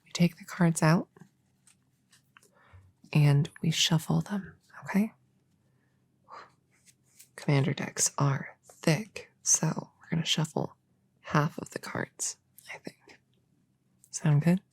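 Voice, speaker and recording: A friendly, slightly bumbling novice witch acted by a woman murmurs through an ASMR roleplay. The voice is low, with a creaky, breathy edge that often slips into a whisper. The delivery is unhurried, casual and a little hesitant. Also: full character voice. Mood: musing, casual. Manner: hesitant, casual, self-correcting. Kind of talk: soft-spoken ASMR roleplay.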